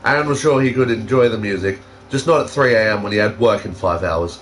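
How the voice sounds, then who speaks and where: A man's voice: lowish voice, fairly quick. A man co-hosting a casual fan fiction reading, with dry teasing, plenty of swearing and an amateur read-aloud style.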